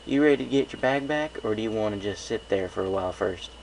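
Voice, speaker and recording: A man voicing a calm, protective grown-up country mare with a folksy drawl in a read-aloud story. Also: fairly low pitch, fast talker, vocal fry, a little hiss or hum, poor audio. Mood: friendly, teasing.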